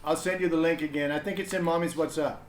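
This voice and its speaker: A man's voice: a little high, fairly even intonation, fast talker. A man chatting casually and hesitantly as he hosts a livestream, thinking aloud as he goes. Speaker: parent hosting a livestream storybook reading. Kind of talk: casual livestream setup chat. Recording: echoey room with background noise.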